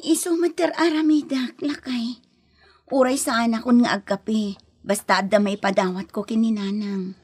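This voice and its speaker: A put-upon wife and mother in a radio comedy drama. Her voice sits fairly high with a husky edge, and she delivers her lines as plaintive, grumbling complaint. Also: fairly even intonation, moderate pace, a little mellow. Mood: resigned.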